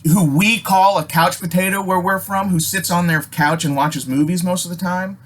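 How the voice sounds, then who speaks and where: A man's voice: high voice, steady tone, normal speed. An American man telling a personal story casually, rambling and emphatic, swearing heavily with abrupt stops and repeats. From Alaska, United States.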